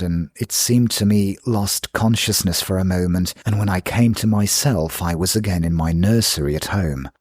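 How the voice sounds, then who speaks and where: A man voicing a languid, mysterious young lady in a gothic audiobook, husky and gravelly, with intimate, drawn-out phrasing. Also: sits low, darkish tone, dry recording, clean studio sound, voice pushed up, heavily acted.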